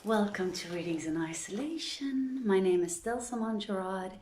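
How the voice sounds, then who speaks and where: A woman reading a story aloud as a presenter, with a measured, deliberate and dramatic delivery. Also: mid-range voice, animated, a little mellow, roomy sound, slight background noise. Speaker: reader presenting a literary reading series.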